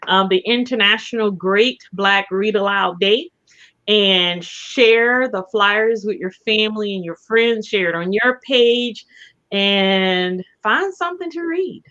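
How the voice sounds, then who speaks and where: A woman co-hosting a casual chat in a measured, encouraging way, on slightly dull audio. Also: medium pitch, pushed and bright. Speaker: co-host. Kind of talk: casual chat promoting a reading event.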